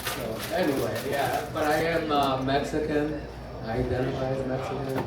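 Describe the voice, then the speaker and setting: A man from New Jersey telling stand-up stories on stage, dry and self-deprecating, with hesitant pauses. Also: medium pitch, steady tone, very noisy. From New Jersey, USA. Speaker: stand-up comedian. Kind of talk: stand-up comedy set. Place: comedy club stage. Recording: echoey club heard from a distance, loud audience laughter. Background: loud crowd laughing.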